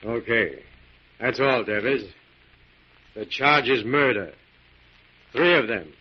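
A no-nonsense police inspector grilling a suspect, old 40s radio show, dull sound. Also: male voice, medium pitch, expressive, slow pace, breathy edge. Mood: brisk, stern. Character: brisk male police inspector questioning a suspect. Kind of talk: 1940s radio acting. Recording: muffled 1940s radio recording.

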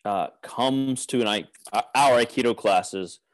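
Man, mid pitch, casual podcast co-host who mostly listens and adds short replies. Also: a little slow. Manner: brief backchannel listener.

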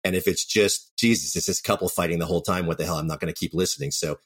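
A low-pitched man talks as a guest in an interview. He is conversational and reflective, circling back over his words as he explains his work. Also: rapid-fire, slightly rough. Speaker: writer and creator, interview guest.

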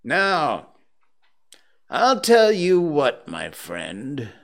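A man voicing an elderly reformed miser in a storybook, a mischievous and big-hearted figure with a theatrical, drawn-out, emphatic delivery. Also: a little high, wildly swooping pitch, vocal fry, voice pushed up, heavily acted. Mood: mock gruff. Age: older. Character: elderly miser turned jubilant, booming and mischievous, warm-hearted.

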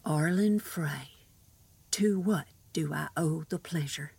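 A woman's voice: deep voice, slow, vocal fry, mellow, slight background noise, heavily acted. An actress playing a tough, defiant rural woman in an audio drama, curt, folksy and sardonic. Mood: wary, dry. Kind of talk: cinematic audio drama acting.

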